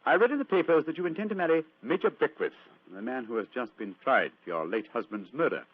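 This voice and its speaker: Sharp polite detective from old radio drama, quick talker, lively pitch, lo-fi sound. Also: male voice, slightly rough. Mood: probing. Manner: clipped, probing, courteous. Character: keen, incisive, courteous gentleman detective. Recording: dull, lo-fi old radio recording.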